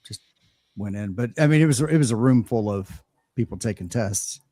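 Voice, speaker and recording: An older man reminisces casually on a ham radio show. His voice sits fairly low, and he halts often, restarting and repeating his words. The recording is dry and close. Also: warm tone, no echo. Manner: halting, stammers and repeats words. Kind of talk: casual chat.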